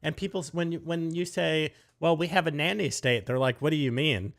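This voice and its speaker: Guy co-hosting a live chat show, blunt and opinionated, tosses in quick asides. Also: fairly high pitch, gravelly. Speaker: podcast co-host. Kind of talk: casual political talk show chat.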